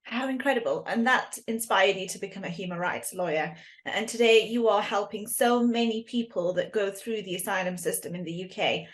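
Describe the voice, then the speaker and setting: A woman's voice: mid-range voice, brisk. Woman host asking interview questions. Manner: friendly, hedged questions with fillers. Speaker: podcast host.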